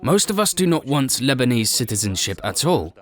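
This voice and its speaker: A man reading a scripted documentary voice-over, explaining things in an even, explanatory way. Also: medium pitch, fairly quick. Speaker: documentary voice-over narrator and translator.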